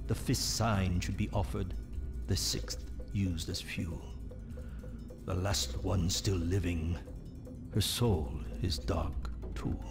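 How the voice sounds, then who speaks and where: Game narrator: low male voice, solemn and portentous, telling dark ancient legends over clear background music. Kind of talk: dramatic scripted storytelling narration.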